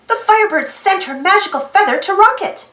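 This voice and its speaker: A woman's voice: very high-pitched, expressive, brisk, fairly bright tone, roomy sound, voice pushed up. A woman voicing an eager, earnest and emotional little boy in a children's storybook. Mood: amazed. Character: plucky, emotional little boy Quincy, eager team leader.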